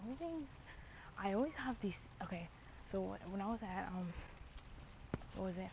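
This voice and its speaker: A young woman rambling casually to her stream, her thoughts drifting from one thing to the next with a steady stream of fillers and slang like bro and dude. She sits at a mid pitch. Her audio is dull and lo-fi, with a clear hum of noise behind her. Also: moderate pace.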